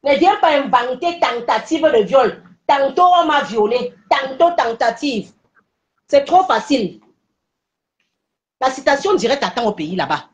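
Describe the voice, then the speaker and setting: A woman's voice: high-pitched, sing-song, a little slow, echoey room. An older woman, a guest on a live stream, arguing emphatically, stammering and repeating her phrases. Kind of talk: heated live stream argument.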